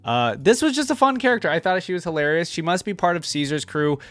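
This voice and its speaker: A man's voice: fairly high pitch, animated, fast talker, a bit bright, dry recording. A young man giving casual, chatty, amused reaction commentary. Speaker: reaction video host.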